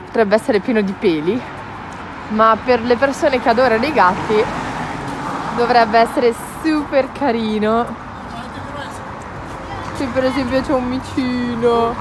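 A woman in her twenties chatting excitedly as she vlogs outdoors on city streets, holding her phone at arm's length. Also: on the high side, background noise. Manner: chatty, excited, mixes English words. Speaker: vlogger. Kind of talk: casual travel vlog. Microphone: phone held at arm's length.

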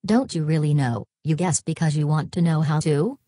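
A woman voicing an early therapist chatbot with a low, dark, husky voice. She answers in a flat, evasive way and turns each question back on the asker. The sound is close and dry. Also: normal speed, dry recording. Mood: deadpan. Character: literal-minded early therapist chatbot, female.

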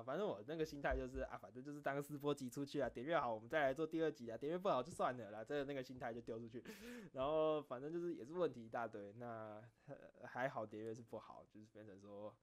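A man with a Taiwanese accent and a mid-range voice talks off the cuff, like a streamer catching up with followers. He tells stories in an animated way, voicing each side of a conversation, and vents with a self-critical streak. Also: mid-range voice. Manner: animated storytelling, acting out back-and-forth exchanges. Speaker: video creator and streamer. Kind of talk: casual rambling chat, telling anecdotes.

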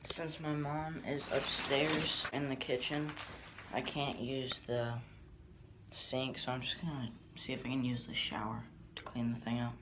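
A teenage boy talking casually and mumbling low-key asides while he films a prank on a phone at home. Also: little pitch movement, unhurried. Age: teens. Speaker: prank vlogger. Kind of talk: casual vlog talk. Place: small bathroom and home kitchen. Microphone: phone camera. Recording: echoey room, noisy phone audio.

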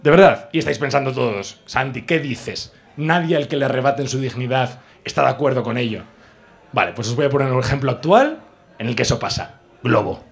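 A man in his thirties with an accent from Spain, performing stand-up comedy in an animated, theatrical way into a handheld microphone. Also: medium pitch, brisk, gravelly. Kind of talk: stand-up comedy with comic singing. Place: small comedy club stage.

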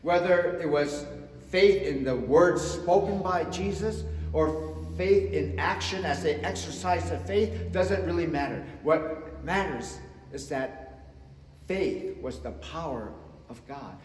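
A man in his fifties preaching a sermon from the front of a church sanctuary, slow and emphatic, swinging between loud and soft. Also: fairly high pitch. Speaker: pastor. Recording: echoey church with long reverb.

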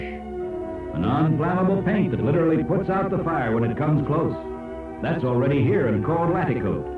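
A man reading scripted educational narration in an emphatic, oratorical way with dramatic pauses. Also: fairly high pitch, far from the mic. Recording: echoey, dull old cassette played aloud, music. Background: music.

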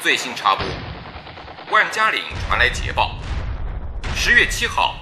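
An actor playing a solemn, declamatory 1930s wartime radio announcer reading bulletins in a radio drama. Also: male voice, mid-range voice, very animated, measured pace, background noise. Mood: triumphant.

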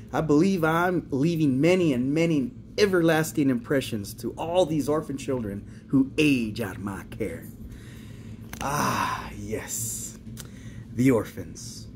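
Slow, pompous, menacing older nun. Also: male voice, on the high side, a little mellow, a little hiss or hum. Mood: falsely sweet, proud, then pious, self-satisfied. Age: 58 as played. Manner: sugary, preachy, folksy, self-important. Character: pompous, menacing 58-year-old Mexican nun in charge. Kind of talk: theatrical character monologue.

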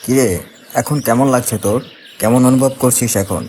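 A man's voice: medium pitch, steady tone, moderate pace, darkish tone, a little hiss or hum, lightly acted. A man voicing a concerned male village friend in a horror cartoon, speaking in a familiar, explanatory manner. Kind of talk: Bengali horror cartoon voice acting.

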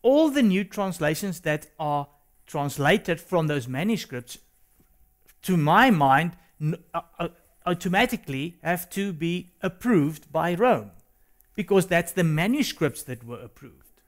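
A South African man whose first language is Afrikaans, co-hosting a religious discussion in an earnest, halting way. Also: a little high, big pitch swings. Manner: earnest, hesitant with restarts. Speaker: co-host. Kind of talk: studio discussion.

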